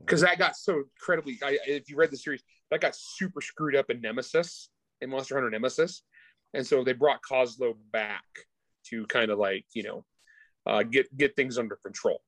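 A man's voice: medium pitch, a bit bright, dry recording, low-quality recording. Man chatting casually, very dry close sound. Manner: informal, slangy, halting with restarts and self-interruptions. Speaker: guest author discussing own book. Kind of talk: casual chat, interview.

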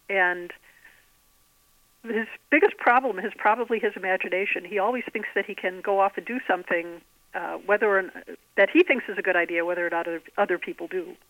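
A woman speaking as an author guest in an interview, explaining things articulately in measured phrases. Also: medium pitch, fairly bright tone, no room sound, rough recording. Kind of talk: interview conversation.

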